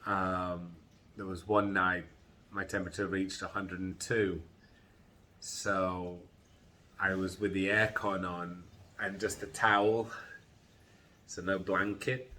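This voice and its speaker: A middle-aged man with a congested head and groggy chest, slowly and haltingly describing his illness in a personal vlog. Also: low voice, little pitch movement, sharp tone, a little hiss or hum. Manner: slow, halting, tired recounting. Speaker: vlogger.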